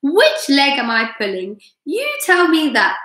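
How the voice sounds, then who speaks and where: Storybook centipede, a loud cheeky rascal played by a woman, bright and swooping, in a roomy echo. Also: on the high side, measured pace, heavily acted. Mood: gleeful. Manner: boastful, excitable, exclaiming. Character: boastful rascally male centipede, loud and gleeful.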